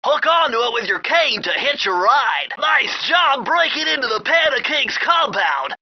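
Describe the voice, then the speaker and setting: A brainy young cartoon turtle guides the player through a video game. His voice is extremely high and nasal, with a fussy, bookish precision. He is earnest and excitable, always cautious. The sound is somewhat muffled and lo-fi. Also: unhurried, slight background noise, heavily acted. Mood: earnest, explaining. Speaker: mission guide. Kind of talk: video game voice acting, instructions. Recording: dull, lo-fi game audio.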